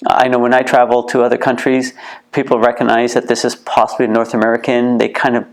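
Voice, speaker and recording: Canadian guy, middle-aged, gravelly voice, keeps repeating words as he restarts, sounds earnest. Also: medium pitch, completely flat delivery, fairly quick. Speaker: museum co-founder, interview guest. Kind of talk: reflective interview answers. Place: heritage museum.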